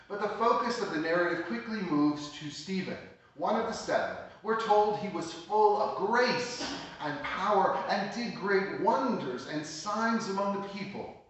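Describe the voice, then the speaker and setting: A man pastor preaching a sermon, explaining scripture in a measured, plain teaching tone. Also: fairly high pitch, a bit bright. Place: church sanctuary. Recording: echoey church hall, mic at a distance.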